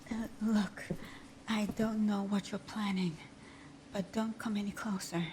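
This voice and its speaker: A woman playing a supervillain thief whose teasing bravado hides a timid, wounded heart, in a breathy, often whispered, intimate ASMR roleplay style. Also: mid-range voice, fairly even intonation, unhurried, a little mellow, a little hiss or hum, close to an everyday voice. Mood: guarded, flustered. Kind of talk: ASMR roleplay audio drama.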